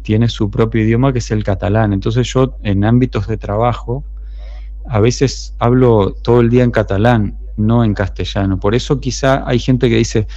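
A middle-aged man from Uruguay with a Uruguayan Spanish accent, a musician talking through his story in a relaxed, thoughtful interview, often restarting his sentences. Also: mid-range voice, normal speed, no room sound. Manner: thoughtful, rambling with many restarts. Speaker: street musician and songwriter. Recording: dull muffled sound.

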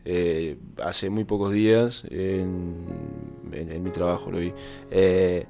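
A man's voice: low voice, fairly even intonation, takes it slowly, mellow, soft background music. A man co-hosting a casual talk show, talking hesitantly with many fillers and restarts.

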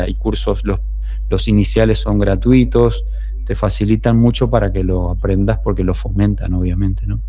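A middle-aged man from Uruguay with a Uruguayan Spanish accent, a musician talking through his story in a relaxed, thoughtful interview, often restarting his sentences. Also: lowish voice. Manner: thoughtful, rambling with many restarts. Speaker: street musician and songwriter. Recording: dull muffled sound.

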